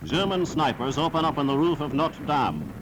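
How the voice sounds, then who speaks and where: A man's voice: on the high side, big pitch swings, moderate pace, heavy background noise. A man narrating a scripted 1940s wartime newsreel in a solemn, formal, declamatory style, on a vintage film soundtrack.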